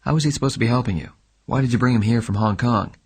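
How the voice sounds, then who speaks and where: A man reading an audiobook, voicing an impatient private detective who speaks curtly and irritably, loud with anger. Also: mid-range voice, fast talker, breathy edge. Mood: irritable. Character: impatient hard-boiled male private detective.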